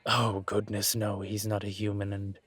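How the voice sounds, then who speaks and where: A man acts out a composed incubus investigator for a roleplay audio drama. His voice sits fairly low and stays very even, with unhurried, deliberate phrasing. He is politely self-assured and dryly wry, recorded close and dry. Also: slightly rough, no room sound. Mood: amused. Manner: measured, deliberate, wry, conversational. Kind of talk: scripted one-sided roleplay audio drama.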